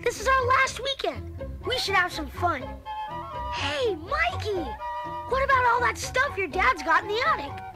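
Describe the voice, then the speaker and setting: Determined little kid from an adventure read-along, super high and urgent, music under it. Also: big pitch swings, measured pace, exaggerated voice, poor audio. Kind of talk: storybook read-along character acting.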